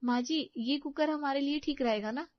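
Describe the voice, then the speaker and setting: A meek young daughter-in-law, polite and eager to please, speaking in a high, light voice, as a woman puts her on. Dull, lo-fi and dry recording. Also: moderate pace, dry recording, close to an everyday voice.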